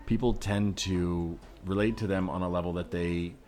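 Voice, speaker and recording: Man as a low-voiced, hesitant, earnest doctor. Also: fairly even intonation, normal speed, darkish tone, faint music behind, natural voice. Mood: earnest explaining. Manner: hesitant, explanatory. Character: earnest, unflappable male psychiatric doctor. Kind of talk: improvised audio comedy sketch.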